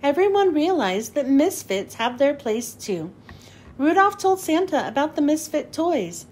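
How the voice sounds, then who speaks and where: Very high, animated woman reading a storybook. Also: a little slow. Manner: animated, emphatic. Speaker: children's book reader. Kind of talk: expressive storybook read-aloud.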